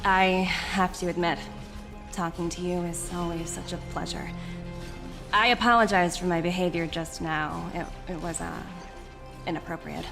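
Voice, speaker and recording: An actress playing a shrewd, self-assured young corporate envoy in a video game, polished and articulate. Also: female voice, lowish voice, creaky. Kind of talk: scripted video game voice acting. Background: game music.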